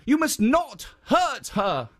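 Folk-tale statue guardian, gentle, earnest, pitched up, a narrator putting it on. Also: very animated, slow, full character voice. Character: kindly, protective stone statue spirit.

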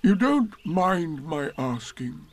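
A man voicing a gloomy, resigned donkey from a children's storybook, with a ponderous, doleful, deliberate delivery. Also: a little high, very animated, voice pushed up, heavily acted. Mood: crestfallen.

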